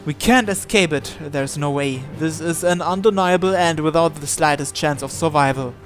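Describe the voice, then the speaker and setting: A man with a fairly high voice narrates a visual novel in the first person, with music faintly behind him. His delivery is theatrical and deliberate, breaking the story into short dramatic phrases. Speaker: gamer reading a visual novel aloud.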